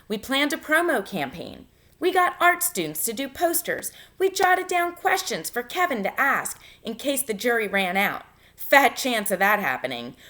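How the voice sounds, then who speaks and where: A woman teacher reading a novel aloud to students in an expressive, instructive way, pausing to give guidance. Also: mid-range voice. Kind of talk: read-aloud lesson, narration with spoken instructions.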